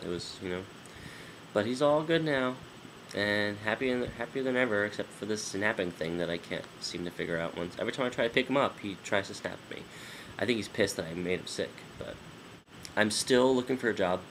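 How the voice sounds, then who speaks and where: A man's voice: low-pitched, a bit bright, a little hiss or hum, rough recording. A man in his twenties, nasal from a sinus infection, giving a rambling casual vlog update full of ums and restarts. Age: 20s. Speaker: vlogger.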